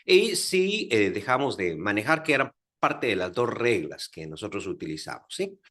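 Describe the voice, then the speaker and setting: A man with a Guatemalan accent gives a classroom lecture on scripture history. His pitch leaps and falls with lively emphasis, and he keeps checking that his listeners follow. His talk is full of fillers and restarts. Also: moderate pace. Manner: animated, with many fillers and rhetorical check-ins. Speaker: Bible teacher lecturing. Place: Dominican Republic, class audience.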